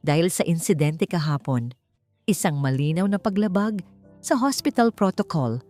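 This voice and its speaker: A woman's voice: lowish voice, lively intonation, moderate pace, darkish tone, no room sound, faint music behind, lightly acted. A woman with a Filipino accent voicing a stern, cold human resources manager in an audiobook, speaking formally and curtly. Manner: formal, curt, official.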